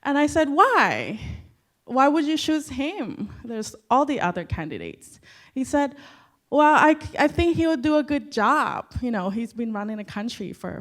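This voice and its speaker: A woman from Thailand on a conference panel, telling a personal story in an earnest, conversational way with personal asides. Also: a little high.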